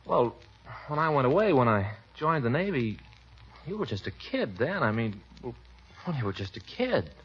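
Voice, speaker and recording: A young actor playing a shy, stammering sailor just home from the navy in a 1940s radio comedy. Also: male voice, mid-range voice, very animated, fairly bright tone. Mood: bashful. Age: young adult. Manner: halting, bashful, stammering. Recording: dull old radio broadcast with hiss.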